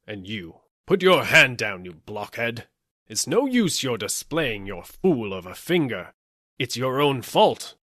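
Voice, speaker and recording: A man's voice: lowish voice, fairly bright tone, no echo, clean studio sound. Guy doing a bossy puffed-up police chief from an audiobook, stern n barky, voice goes up and down loads. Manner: stern, barking, self-important. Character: pompous, blustering male police superintendent, bossy and fickle. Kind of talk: audiobook character acting.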